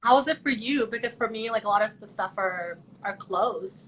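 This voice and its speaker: A woman's voice: fairly high pitch, normal speed, a bit bright, rough recording. A young woman from Canada, a pageant contestant, chatting earnestly and thoughtfully over a video call. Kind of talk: casual video call chat.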